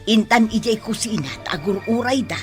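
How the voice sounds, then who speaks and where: A woman's voice: fairly high pitch, expressive, fairly quick, loud music behind the voice, natural voice. A woman playing a frank, teasing older household servant in a radio drama, with an Ilocano Filipino accent. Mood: musing, instructing.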